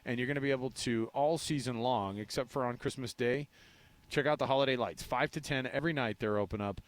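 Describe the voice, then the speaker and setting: A man's voice: mid-range voice, fairly quick. An American man hosting live sports talk radio in a casual, conversational way with rambling asides. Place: live remote broadcast from a ballpark event.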